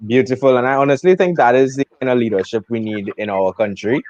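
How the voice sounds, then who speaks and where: A man's voice: medium pitch, fast, darkish tone, no room sound. Man, young adult, interviewer, friendly, enthusiastic, dry muffled sound. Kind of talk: casual interview.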